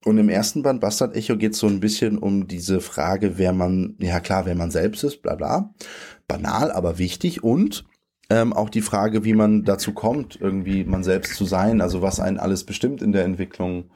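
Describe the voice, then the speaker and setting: A man's voice: deep voice, moderate pace, warm tone. A man in his late twenties from Germany, a poet talking things over in an interview, thoughtful and searching, with frequent fillers and restarts. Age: late 20s.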